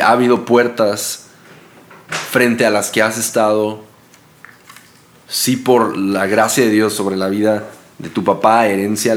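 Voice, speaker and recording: Low-voiced guy hosting a podcast, earnest, keeps pausing for words. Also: slight background noise. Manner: earnest, halting, searching for words. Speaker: podcast host. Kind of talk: casual chat.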